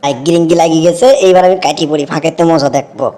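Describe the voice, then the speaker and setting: A man's voice: fairly high pitch, steady tone, rapid-fire, mellow, natural voice. A man voicing a sly village schemer making gleeful cartoon asides, on a noisy track.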